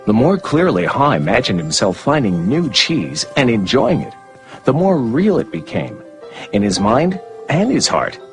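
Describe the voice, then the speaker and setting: A man giving scripted storybook narration in an expressive way, with music behind him. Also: mid-range voice, measured pace, creaky.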